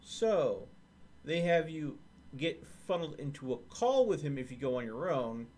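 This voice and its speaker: A man streaming a video game explains a glitch in a relaxed, casual way. His voice sits somewhat high for a man. A faint hum runs in the background. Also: a little slow. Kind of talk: casual gameplay commentary.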